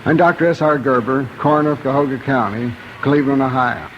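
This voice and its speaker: An American man giving a formal coroner's statement in a deliberate, halting way. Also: medium pitch. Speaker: county coroner. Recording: dull old radio, faint noise.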